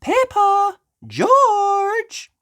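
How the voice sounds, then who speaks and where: Storybook pig mum, female reader acting: polite, sing-song, raised pitch, very animated. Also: drawn-out words, full character voice. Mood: calling out. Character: bright, polite mother pig, Mummy Pig. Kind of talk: read-aloud children's storybook voice.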